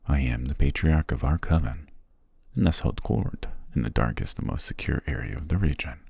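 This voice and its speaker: A man playing an ancient, imperious and possessive vampire patriarch in a fantasy ASMR roleplay, speaking in a formal, commanding, measured way. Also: mellow, dry recording, clean studio sound, heavily acted. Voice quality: very deep, gravelly, breathy.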